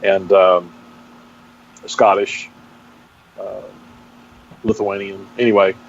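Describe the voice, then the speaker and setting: A middle-aged man with a Texan accent giving a reflective interview as a guest, speaking haltingly and searching for his words. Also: deep voice, little pitch movement, gravelly. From Texas, United States. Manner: halting, searching for words with repeated false starts. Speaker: interview guest, pastor and seminary teacher. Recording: dull, band-limited audio with faint noise.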